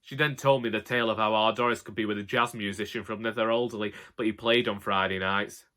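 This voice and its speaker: A man's voice: lowish voice, fast talker. A man voicing a wry, long-suffering elderly husband with a Northern English accent in a colloquial comic audiobook monologue. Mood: grumbling, wry. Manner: colloquial, wry, deadpan grumbling. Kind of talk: first-person comic monologue, audiobook narration.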